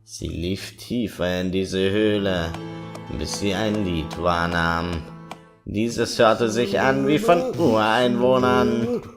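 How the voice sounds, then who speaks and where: Mischievous trickster storyteller, played by a man, slow theatrical delivery, suspenseful campfire horror tale, clear background music. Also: fairly low pitch. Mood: ominous, suspenseful. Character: mischievous male chimera trickster telling spooky campfire tale. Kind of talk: scripted dramatic storytelling, audio play.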